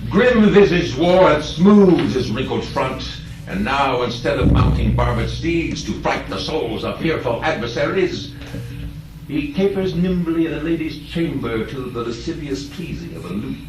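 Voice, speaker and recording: A man's voice: mid-range voice, animated, darkish tone, faint music behind, pitched above the performer's own voice, close to an everyday voice. Man performing a echoey, theatrical Shakespearean scheming villain. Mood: grand, sardonic. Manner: theatrical, declamatory, slow drawn-out emphasis. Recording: distant, echoey live hall recording.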